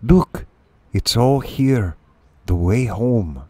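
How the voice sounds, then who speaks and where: A boastful shrew chief from a storybook , played by a man, giving orders with brisk self-assurance. The delivery is lively and commanding, with pitch that moves widely, in a dry, close recording. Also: no room sound. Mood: eager, explaining. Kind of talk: storybook character voice.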